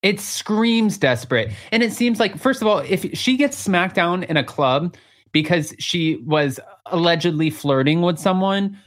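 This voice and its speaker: A man's voice: high-pitched, expressive, measured pace, a bit bright. A man co-hosting a gossip show, chatting casually with a sassy, dramatic, emphatic delivery. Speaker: co-host of a celebrity gossip show. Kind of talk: casual reality-TV gossip chat, reading out quotes.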